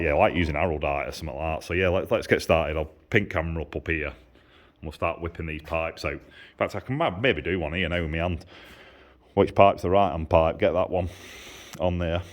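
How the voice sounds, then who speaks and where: A man giving a casual, hands-on workshop demonstration, explaining informally step by step and repeating himself. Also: sits low. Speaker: motorcycle exhaust maker and mechanic. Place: motorcycle workshop. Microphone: handheld camera held by the speaker.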